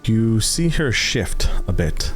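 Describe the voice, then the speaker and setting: A man narrating a tabletop roleplaying game as game master, with deliberate dramatic pauses. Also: lowish voice, very animated. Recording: background music under the voice.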